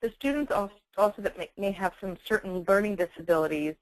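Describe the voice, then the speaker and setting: A woman giving a semi-scripted software webinar presentation in an explanatory, conversational manner. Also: mid-range voice, fairly quick, no room sound, poor audio.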